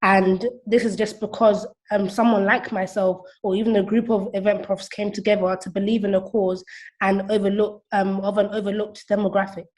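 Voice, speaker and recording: A woman's voice: mid-range voice, level tone, moderate pace, a little mellow. A woman in her twenties giving explanatory answers as an interview guest on a remote call. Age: 20s. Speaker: interview guest, platform founder.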